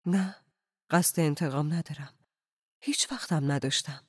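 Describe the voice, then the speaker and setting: A woman voicing a middle-aged wife in a novel, soft, measured and quietly resolute. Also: fairly low pitch, animated, slightly rough, dry recording, studio quality. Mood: calm.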